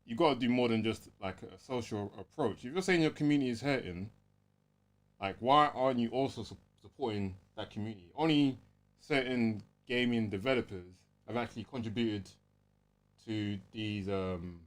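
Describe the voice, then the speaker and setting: A man with an average-pitched voice talks casually as a podcast host. He feels his way through each point, hesitating and framing thoughts as questions. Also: moderate pace.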